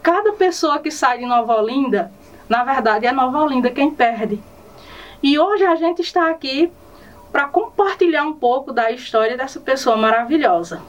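A woman hosting an interview, asking questions in warm, formal phrasing. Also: sits high, expressive, normal speed. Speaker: interview host. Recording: distant microphone.

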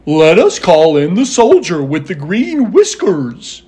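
Man playing a slow, self-satisfied scarecrow ruler. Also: sits high, huge pitch swings, mellow, slightly rough, full character voice. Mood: pondering. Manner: slow, deliberate.

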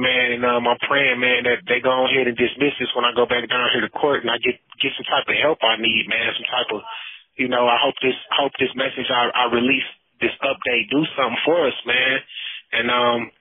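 A man speaking casually and earnestly in a plea over a phone call, often adding "man" and "you know.". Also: medium pitch. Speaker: incarcerated storyteller giving testimony. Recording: muffled lo-fi prison phone call.

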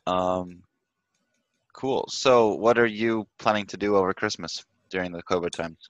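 Deep man with wry remarks. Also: measured pace, dry recording. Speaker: podcast co-host. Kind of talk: casual chat. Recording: muffled lo-fi audio.

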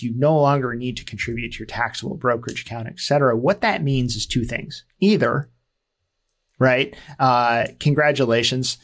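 A man's voice: mid-range voice, a bit bright. A man in his forties, hosting a podcast and explaining ideas in an easygoing, self-deprecating way with frequent fillers. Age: 40s. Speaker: podcast host. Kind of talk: casual explanatory talk.

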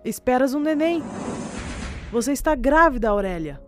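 Woman doing nosy old brazilian lady in a radio soap, highish voice, coaxing and insinuating, little music behind. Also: animated, moderate pace. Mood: knowing, insistent. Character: nosy old lady companion, knowing and insistent. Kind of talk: radio soap opera acting of a literary classic.

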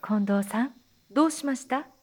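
A woman playing a soothing teacher who leads a guided meditation in a voice drama, counting and instructing in gentle, measured phrases. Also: on the high side, a little hiss or hum, close to an everyday voice. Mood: gently insistent. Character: soothing female teacher leading meditation amid zombie threat.

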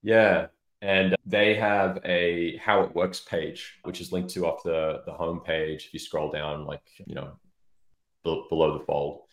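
Very deep-voiced man in a roomy space. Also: fairly even intonation, a little slow. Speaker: interview guest, head of product marketing. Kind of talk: casual expert interview talk. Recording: roomy, echoing sound.

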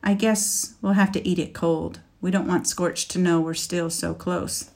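A gentle young knight who chooses his words with care, read by a woman narrating a storybook. He speaks quietly and evenly in a slightly low voice. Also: steady tone, a little slow, gravelly. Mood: calm. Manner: quiet, careful. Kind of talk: audiobook character reading.

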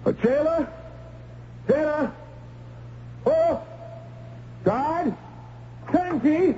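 An actor playing a big, devout monk with an earnest, urgent, halting delivery in an old-time radio drama. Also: male voice, very high voice, warm tone, exaggerated voice. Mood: loud, feigned alarm. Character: large, strong, devout monk smuggling out a condemned girl. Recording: muffled, noisy old radio recording.

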